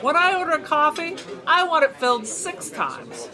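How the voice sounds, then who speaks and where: A woman's voice: sits high, wildly swooping pitch, normal speed, music in the background. A woman playing an opinionated, stubborn small-time crook in an audio drama, speaking bluntly. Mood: defiant, argumentative. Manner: argumentative, blunt. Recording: busy crowd chatter behind.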